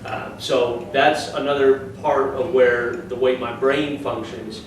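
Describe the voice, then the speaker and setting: A man, a race driver, giving a steady, matter-of-fact talk to a club audience. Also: medium pitch, slow pace. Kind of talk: presentation to a club. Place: meeting room. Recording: echoey room, distant mic, noisy.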